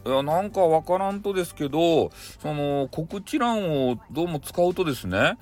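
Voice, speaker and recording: A man's voice: fairly high pitch, expressive, normal speed, darkish tone, faint music behind, voice pushed up, lightly acted. A man playing a timid, deferential young male office underling who talks apologetically in a comic radio drama. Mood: defensive explaining.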